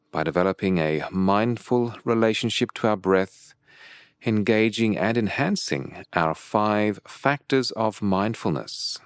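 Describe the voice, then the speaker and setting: Meditation guide, male, lowish pitch, unhurried and measured delivery with deliberate pauses, dry recording. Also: no room sound. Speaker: meditation teacher.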